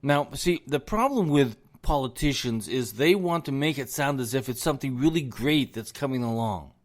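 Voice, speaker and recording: A man's voice: mid-range voice, animated, normal speed. A man hosting a solo podcast, losing his voice, talking in an indignant tone and repeating words as he rants. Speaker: podcast host.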